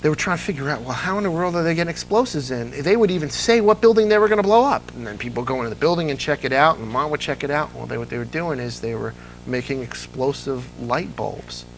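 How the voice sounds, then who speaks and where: A man chatting casually as an interview guest, telling anecdotes in an animated way and acting out the dialogue. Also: mid-range voice, fast talker, gravelly, few pauses, rough recording. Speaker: interview guest, old-time radio fan. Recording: audible background noise and hum.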